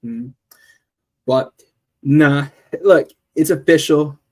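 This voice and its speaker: A man hosting a live football chat, talking in an animated, playful way with lots of fillers and restarts. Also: a little high, slow. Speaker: host.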